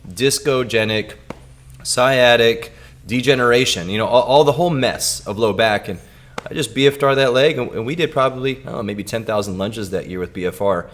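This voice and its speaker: A man co-hosting a podcast, telling a patient story in a halting way with many repeated words. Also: mid-range voice, fairly bright tone. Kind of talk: casual clinical storytelling.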